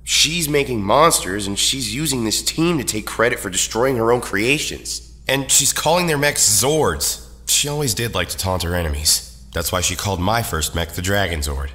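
A man's voice: fairly low pitch, animated, normal speed, pushed and bright. A man playing an indignant, distrustful veteran superhero in an audio drama. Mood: scornful. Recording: long hall reverb.

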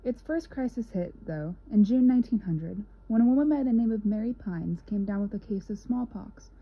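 A woman's voice: medium pitch, normal speed, husky. A young woman, a student narrator, reading a scripted history piece. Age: young adult. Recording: dull lo-fi recording.

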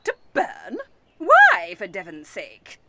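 A woman's voice: very high voice, wildly swooping pitch, takes it slowly, gravelly, heavy background noise, exaggerated voice. A woman playing a nosy, opinionated, chatty neighbour in a radio comedy play, theatrical and fussy. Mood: nosy, gushing.

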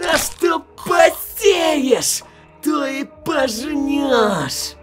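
Woman as a high, distressed goat-child prince. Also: expressive, very slow, music in the background, heavily acted. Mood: accusing. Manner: fearful, dramatic. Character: frightened, wounded little goat-boy prince. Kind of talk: fan comic dub acting.

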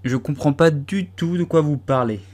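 A man's voice: a little high, moderate pace, a little mellow, voice pushed up, close to an everyday voice. A man voicing an earnest, puzzled little girl in a storybook. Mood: indignant. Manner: earnest, hesitant, a bit indignant.

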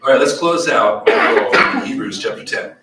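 A middle-aged man teaching a Bible study in a small church room, animated, with questions for the audience. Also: medium pitch, unhurried, crowd noise. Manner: animated, gestures widely, asks the audience questions. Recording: echoey room, voice from far off.

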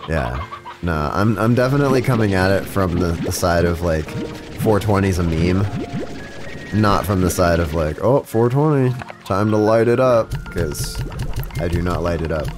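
Deep-voiced man streaming with a stuffy cold. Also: expressive, normal speed, music in the background. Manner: rambling, talks to chat, self-interrupting restarts. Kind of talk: casual live-stream chat while gaming. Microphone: studio mic with compressor.

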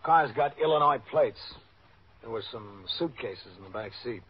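Guy doing a steady police captain, factual, mid voice, dull noisy old radio recording. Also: medium pitch, moderate pace, close to an everyday voice. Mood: businesslike. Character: steady, matter-of-fact male police captain. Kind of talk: scripted old-time radio police drama, factual report.

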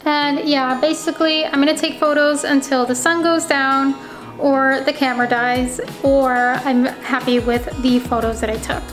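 High-voiced young woman vlogging casually. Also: steady tone, moderate pace, reverberant, music in the background. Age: young adult. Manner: chatty, many "like" fillers, upbeat. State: losing her voice. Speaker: ceramics small business owner vlogging. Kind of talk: casual vlog narration. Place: home studio office and dining room, indoors. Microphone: camera mounted on window, handheld at times.

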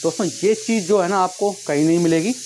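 Man, thirties, slightly raised pitch, casual seller's patter, clip-on mic, some background noise. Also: fast talker. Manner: repetitive filler phrases, addresses viewers as friends. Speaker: vlogger and shoe seller. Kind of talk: casual product pitch to camera. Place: tiled room at home with stock boxes, indoors. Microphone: clip-on lapel mic. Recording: noticeable background noise, clicks.

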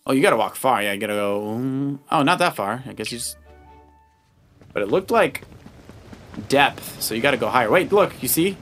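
An animated man talks a player through a video game in a casual, instructive way. His pitch moves around a lot, at an average height for a man. Loud game music plays behind him. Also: normal speed, pauses often. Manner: encouraging, instructive. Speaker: co-host of a family Let's Play, the dad. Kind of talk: casual gameplay commentary, coaching a child player.

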